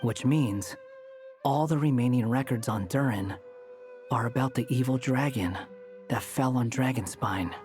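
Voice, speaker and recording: An actor playing a sardonic, aloof young traveling scholar in a video game, dry and smug. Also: male voice, mid-range voice, a little slow, a little mellow, soft background music, natural voice. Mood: serious.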